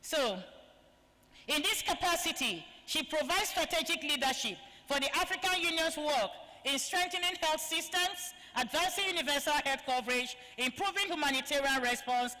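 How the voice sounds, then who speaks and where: A woman's voice: normal speed, sharp tone. A woman serving as master of ceremonies, reading formal guest introductions with honorifics into a handheld microphone. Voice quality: high voice. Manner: ceremonious, reads guest bios aloud with honorifics. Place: large conference hall. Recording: reverberant conference hall.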